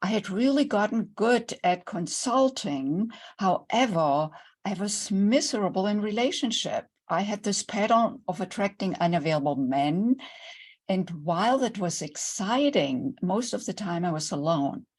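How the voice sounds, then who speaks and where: A woman's voice: mid-range voice, lively intonation, a little slow. An older woman from Germany with a German accent, a guest speaking earnestly and reflectively about her own story in an online interview. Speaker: guest, intimacy coach.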